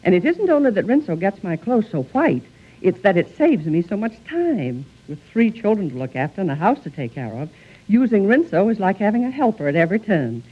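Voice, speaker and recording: Proud happy housewife ad read, super lively, old muffled radio sound. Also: female voice, mid-range voice, fairly quick, breathy edge. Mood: proud, cheerful. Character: proud, cheerful housewife and mother giving testimonial. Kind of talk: 1940s radio advertisement. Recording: muffled 1940s radio recording.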